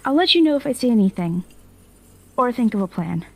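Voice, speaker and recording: Higher-voiced earnest female informant played by a woman. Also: very animated, fairly quick. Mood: earnest, concerned. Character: hesitant, loyal female informant to villains. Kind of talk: naturalistic audio drama acting.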